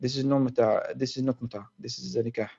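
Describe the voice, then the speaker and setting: A man with an Arabic accent, a native Arabic speaker, arguing as a caller in halting, repetitive, defensive English over lo-fi call audio. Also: medium pitch, steady tone, gravelly, dark tone, slightly rough. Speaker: caller in a debate. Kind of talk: argumentative live debate.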